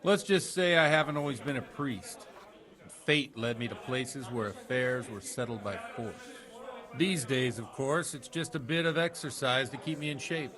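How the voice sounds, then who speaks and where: An actor playing a worldly, avuncular middle-aged village priest with a measured manner in a medieval video game. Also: male voice, mid-range voice, fairly bright tone, lightly acted. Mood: wry. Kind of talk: video game cutscene dialogue.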